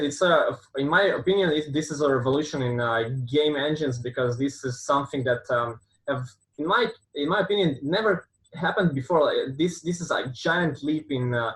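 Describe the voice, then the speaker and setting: A man for whom English is a second language, talking through a podcast interview at a middle pitch. He hesitates often, with fillers and restarts as he searches for words. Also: a bit bright, rough recording. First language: not English. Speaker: guest, game dev consultant and company founder.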